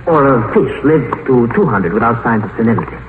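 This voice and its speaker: An actor playing a brash, cynical doctor and researcher, breezy, sardonic and domineering in a 1950s radio drama style. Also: male voice, mid-range voice, expressive, a little fast, lightly acted. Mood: breezy, knowing. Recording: noisy, tinny old 1950s radio broadcast.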